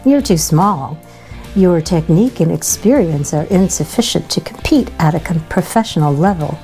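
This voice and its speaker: A woman's voice: on the low side, animated, moderate pace, mellow, soft background music. A woman voicing mocking, know-it-all male monster truck brothers from a storybook. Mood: taunting. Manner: mocking, taunting.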